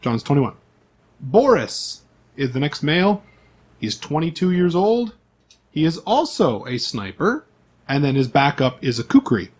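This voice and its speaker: A man's voice: a little high, sing-song, measured pace, husky. A man acting as game master, reading out character profiles in an informal, list-like way with asides.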